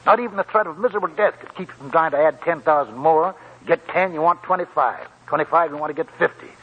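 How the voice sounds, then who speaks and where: An actor playing a talkative, wry old gold prospector in a 1940s radio drama, raspy and folksy, rambling like a storyteller. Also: male voice, fairly high pitch, fast talker. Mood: sly, animated. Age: older. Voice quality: chuckling, raspy old man's voice. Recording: muffled 1940s radio broadcast with music.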